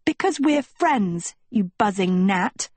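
A woman's voice: mid-range voice, lively intonation, slow pace, a little mellow, slightly rough, no echo. A woman voicing a vain, dramatic teenage girl in a storybook, complaining theatrically and full of huffy self-pity. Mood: huffy, indignant.

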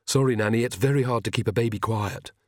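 A man's voice: lowish voice, fast, a little mellow, no echo, clean studio sound, lightly acted. A man playing a worried young queen and mother in an audiobook story, fretful and a little haughty. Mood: hushed, apologetic.

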